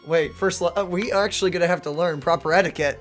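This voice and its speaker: A woman's voice: lowish voice, quick pace, soft background music. A young woman giving casual, joking and exclamatory commentary as a let's play host.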